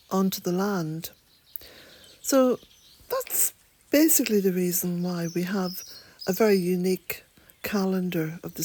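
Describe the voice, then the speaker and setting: An Irish woman narrating a gentle garden vlog in a soft, reflective way, sometimes dropping almost to a whisper. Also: lowish voice. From Ireland. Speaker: vlogger. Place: outdoors in a woodland garden, birds nearby.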